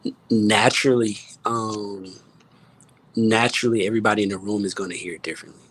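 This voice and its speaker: Candid, halting man in casual conversation. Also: on the low side, fairly even intonation, fairly bright tone, a little hiss or hum, low-quality recording. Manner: halting, repeats words, candid, emphatic. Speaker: music producer, panel guest. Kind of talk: casual panel chat.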